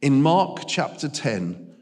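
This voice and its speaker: A man preaching a humorous sermon as a guest speaker, telling stories with jokey, animated asides, in a church hall. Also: mid-range voice, takes it slowly.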